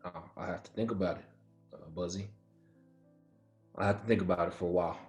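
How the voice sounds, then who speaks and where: A man's voice: deep voice, steady tone, brisk, soft background music. An actor playing a proud, demanding baseball-star father in a dramatic script reading. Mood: stunned, subdued. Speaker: actor in a screenplay table read. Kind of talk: dramatic table read.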